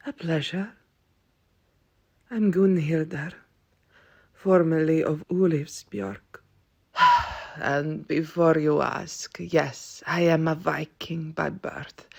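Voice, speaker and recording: A woman playing an ancient, world-weary Viking-born vampire aunt in an audio roleplay, wry and stern, with a husky, breathy voice and archaic wording. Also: low-pitched, heavily acted. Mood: dry, formal. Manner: slow, dry, archaic wording.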